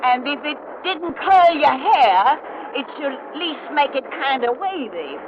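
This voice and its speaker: Slow, cackling old radio witch. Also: high voice, big pitch swings. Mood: gleeful, sly. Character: cackling old witch storyteller, slyly gleeful, with a familiar. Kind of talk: 1930s radio horror hosting. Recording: muffled, noisy old radio recording.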